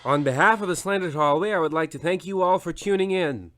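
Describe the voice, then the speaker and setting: A man's voice: sits high, brisk, over music, lightly acted. A man playing a pompous, self-important show producer and narrator who gives a formal sign-off. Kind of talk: scripted radio sign-off.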